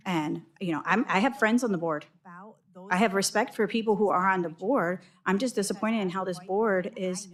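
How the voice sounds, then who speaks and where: Impassioned mother addressing a school board. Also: mid-range voice. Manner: impassioned, reproachful. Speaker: public commenter. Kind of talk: public comment at a school board meeting. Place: school board meeting room.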